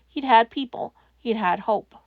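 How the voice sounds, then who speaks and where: A woman reading a fan fiction story aloud in expressive scripted narration. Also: on the high side, a little slow, no echo, phone-quality sound.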